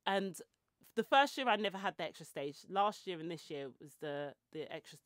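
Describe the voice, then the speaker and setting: A woman's voice: lowish voice, lively intonation, normal speed, no room sound, studio quality. A woman in her forties hosting a podcast, chatting casually into a handheld mic in a small room and rambling into tangents and self-mocking asides. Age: 40s. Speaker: podcast host. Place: small indoor room with shelves and clothes rails. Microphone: handheld mic held close.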